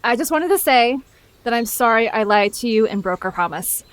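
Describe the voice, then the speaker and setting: A woman's voice: on the high side, expressive, normal speed, close to an everyday voice. A woman playing an earnest, anxious, inquisitive young woman in an audio drama, with a hesitant manner. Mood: apologetic.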